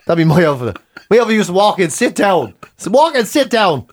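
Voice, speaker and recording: High-voiced middle-aged Irish man telling comic stories. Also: big pitch swings, a little fast. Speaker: podcast host and comedian. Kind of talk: casual comic anecdote telling.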